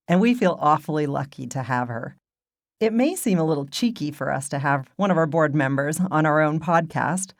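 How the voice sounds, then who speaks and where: A woman hosting a podcast, giving scripted narration in a polished, measured delivery. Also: low voice, no room sound, clean studio sound. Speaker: podcast host.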